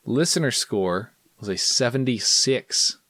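Lively, playful male podcast host. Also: mid-range voice, a little slow, no echo. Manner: playful, self-mocking, reads out scores. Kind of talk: casual podcast chat.

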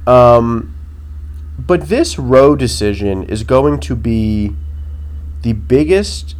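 A man's voice: medium pitch, lively intonation, slow, darkish tone. Nasal, opinionated male podcast host. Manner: blunt, opinionated, frequent ums and rhetorical "right?". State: nasal from a cold. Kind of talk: casual political commentary podcast.

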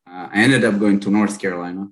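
A man in his late thirties from Israel with an Israeli accent, telling his story as an interview guest in a relaxed way with many fillers. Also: fairly low pitch, fast. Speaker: company founder and guest. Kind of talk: casual interview chat.

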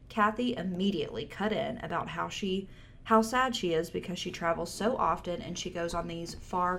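Gravelly low-voiced woman podcaster. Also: fairly bright tone, slight background noise. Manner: wry, reads with side comments. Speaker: podcast co-host. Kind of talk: casual chat, reading posts aloud.

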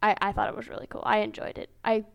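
A woman's voice: mid-range voice, flat delivery, fast, vocal fry, fairly bright tone. A woman on a podcast panel, giving her opinions in casual fan chat.

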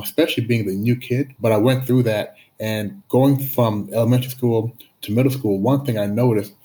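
A man's voice: medium pitch, mellow, rough recording. Middle-aged man with a slight lisp. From Canada. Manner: reflective, frequent restarts and repeated words. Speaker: guest. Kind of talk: conversational interview storytelling. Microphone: video call.

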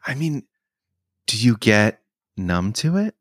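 A woman interviewer asking brief, unhurried questions. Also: very deep voice, sing-song, no echo, studio quality.

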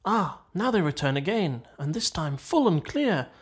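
A man's voice: on the high side, sing-song, normal speed, breathy edge. A man voicing a poetic water rat in a storybook, murmuring in a dreamy, languid way. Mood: languid, rapt. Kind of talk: storybook character dialogue.

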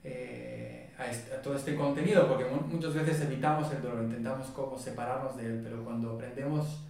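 A calm, measured man speaks as a therapist guest on an interview show, explaining slowly and carefully. His voice sits at a middle pitch. The sound is distant and very echoey, like a mic set far away in a bare room. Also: gravelly. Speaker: body psychotherapist guest.

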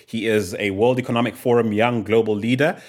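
A man hosting a podcast interview, lively and reading out guest bios at length. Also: fairly low pitch, fairly quick. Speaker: podcast host.

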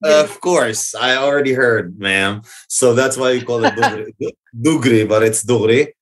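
A man chatting casually as an interview guest, halting and repeating his phrases. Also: medium pitch, a bit bright, slight background noise. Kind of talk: casual chat.

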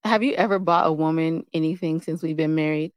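A woman's voice: low-pitched, a little fast, no echo. A woman co-hosting an unscripted relationship chat, blunt, playful and slangy in the way she talks. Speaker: co-host of a couples' relationship show.

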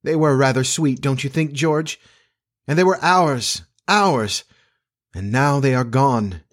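A man's voice: a little high, expressive, normal speed, voice pushed up. A man voicing a placid, tender Edwardian mother in a storybook, with a soothing, wistful manner.

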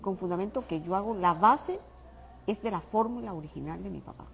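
A woman's voice: mid-range voice, a little fast, gravelly. A woman from Venezuela, a guest telling personal stories in a casual interview. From Mérida, Venezuela. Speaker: interview guest, small business owner. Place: restaurant. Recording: faint background noise of a restaurant.

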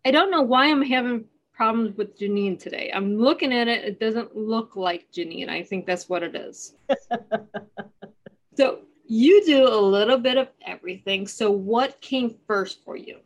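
A woman's voice: fairly high pitch, normal speed. A woman hosting a talk radio show, chatty and self-deprecating, laughing at her own slips. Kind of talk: casual interview chat.

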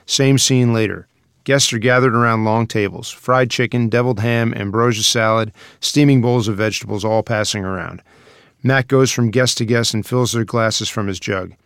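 A man's voice: mid-range voice, creaky, a bit bright, clean tone, no echo, studio quality. A man reading stage directions as scripted narration for a screenplay.

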